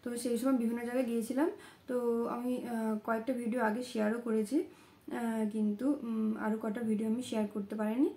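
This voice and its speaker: A woman in her thirties with a voice hoarse from a cold, talking casually to her vlog viewers in a small room at home. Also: a little high, level tone, fast, pauses often, mellow, reverberant. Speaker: vlogger.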